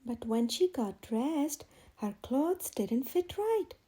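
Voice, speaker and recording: A woman reading a children's picture book aloud as an expressive storyteller. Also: high voice, slow pace. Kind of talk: expressive read-aloud narration for kids.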